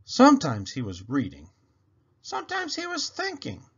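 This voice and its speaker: A man narrating a children's storybook in an expressive read-aloud style. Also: very high-pitched, normal speed, husky, highs cut off. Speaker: storybook reader.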